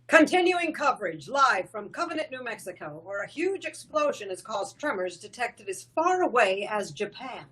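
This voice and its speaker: An actress playing a serious female television news reporter, husky-voiced, reporting live in an animated script. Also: on the high side, moderate pace, hardly any pauses, exaggerated voice. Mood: grave.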